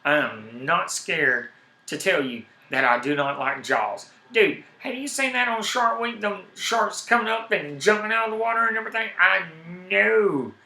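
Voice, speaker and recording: A man with a heavy Southern accent chatting casually on his live stream, rambling and animated, repeating himself when worked up. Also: high voice, normal speed, roomy sound. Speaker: YouTube streamer.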